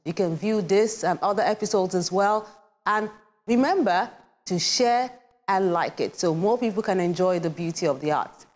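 A woman's voice: mid-range voice, moderate pace, a little mellow. A woman presenting a television programme as its host from a script.

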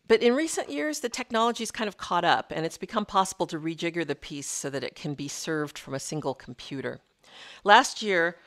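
Woman, low voice, middle-aged, composer lecture, measured and explanatory, home studio mic. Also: fairly bright tone. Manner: measured, explanatory, with occasional ums. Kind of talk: presentation lecture. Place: home studio room with keyboard and shelves. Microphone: studio mic on a boom, video call.

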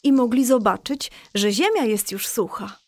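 A woman playing a patient mother who explains a Bible story to children in a children's audio drama, reassuring and clear. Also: on the high side, lively intonation, moderate pace, dry recording. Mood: patient, explaining.

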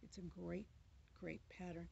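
A woman's voice: lowish voice, flat delivery, measured pace, gravelly, mellow, slightly rough. An older woman giving a chatty, enthusiastic quilting demonstration at a table in a fabric store. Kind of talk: casual product demo. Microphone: camera in front at table. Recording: slightly dull lo-fi sound.

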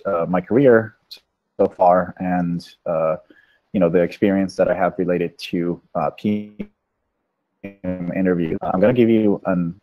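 A man, a Spanish speaker, giving an informal slide presentation as a coach in a halting, measured way over a video call. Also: sits low. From Venezuela. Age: 30s. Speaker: product management coach giving a webinar. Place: small room indoors, on a video call. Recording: dull, lo-fi webinar audio.

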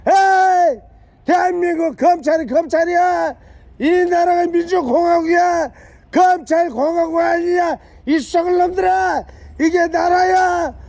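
Shrill, slow-shouting angry man. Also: steady tone, vocal fry. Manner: yelling insults, drawn-out angry cries. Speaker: street protester. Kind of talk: shouted protest rant.

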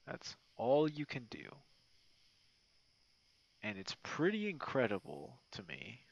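A man talks at a very slow pace with a lot of creaky fry in his voice, rambling casually and stopping and starting as if chatting late at night. The audio is slightly dull and muffled. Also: mid-range voice, huge pitch swings. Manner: rambling, halting, informal. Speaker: ASMR roleplay creator.

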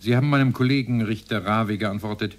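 An actor playing a stern presiding judge in a radio play, husky and pressing as he questions a defendant. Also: fairly low pitch, fairly quick, close to an everyday voice. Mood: probing. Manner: probing, pressing, corrective. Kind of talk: radio play courtroom dialogue.

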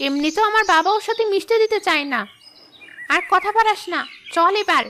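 Very high-pitched childish village girl. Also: animated, brisk, sharp tone, slight background noise, heavily acted. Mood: boastful, haughty. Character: young village girls, childish and outspoken. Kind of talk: cartoon voice acting.

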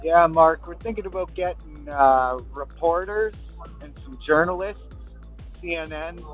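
A man's voice: fairly high pitch, measured pace. Taunting man prank-calling a scammer. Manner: taunting, swearing, sings and yells. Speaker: scam baiter. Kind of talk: prank phone call. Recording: tinny phone line with music.

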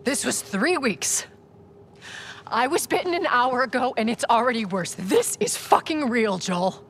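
A woman's voice: on the high side, sing-song, measured pace, fairly bright tone, exaggerated voice. An actress playing a tough, commanding middle-aged woman smuggler in a video game, blunt and urgent in her delivery. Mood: urgent, resolute. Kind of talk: cinematic video game acting. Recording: faint background noise.

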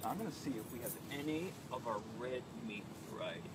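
A man's voice: medium pitch, very animated, fairly quick. A man in his thirties, a farm manager, cheerfully explaining vegetables while walking through an outdoor garden, filmed on a phone. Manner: enthusiastic, explanatory, walking and pointing at plants. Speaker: urban farm manager giving a tour. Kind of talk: live guided tour. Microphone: phone camera a few feet away. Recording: outdoor phone recording with noise.

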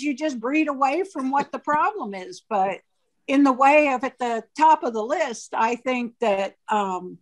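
A woman's voice: on the high side, low-quality recording. A woman on a video call panel giving matter-of-fact opinions on breeding, with some hesitant restarts.

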